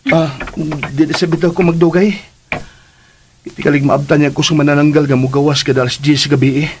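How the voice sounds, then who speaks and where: An actor playing a lovesick, teasing young son in a radio drama, earnest and playful, with a Filipino accent. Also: male voice, a little high, flat delivery, a little fast, noisy background, lightly acted. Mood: hesitant. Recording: music under the voice.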